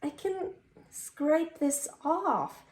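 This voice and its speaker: A woman's voice: sits high, expressive, very slow, darkish tone. A woman from Switzerland with a Swiss-tinged Californian English accent, chatting casually and rambling in a self-deprecating way as she cooks in her home kitchen. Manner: rambling, self-deprecating, exclaiming as things go wrong. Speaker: cooking vlog host. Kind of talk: casual unscripted kitchen chat while cooking. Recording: roomy, distant mic, faint noise.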